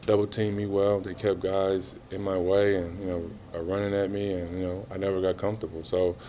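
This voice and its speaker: Low flat-voiced guy at a postgame press scrum, slow halting answers, lots of um and you know. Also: a little hiss or hum. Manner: halting, many fillers, subdued and measured. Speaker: basketball player at a postgame press conference. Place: arena press room, indoors. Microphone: reporters' handheld mics held close.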